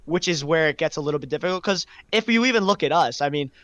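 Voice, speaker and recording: A man's voice: a little high, animated, fast talker, a bit bright, no room sound, dull audio. A young man talking casually and reflectively on a podcast, feeling his way through his phrases. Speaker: podcast host.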